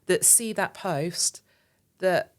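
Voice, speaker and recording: An earnest woman speaks as a guest on a podcast, pressing her points with emphasis. Her voice sits a little low for a woman, and she often repeats a word or two as she gets going. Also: very slow. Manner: earnest, emphatic, repeats words when starting. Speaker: podcast guest, marketing agency director. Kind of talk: casual podcast conversation.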